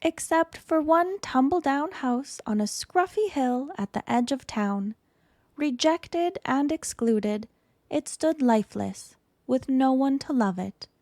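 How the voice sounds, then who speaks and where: A woman reading a children's picture book aloud in an expressive, deliberate storytelling manner. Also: high-pitched, no echo, studio quality. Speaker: storytime reader.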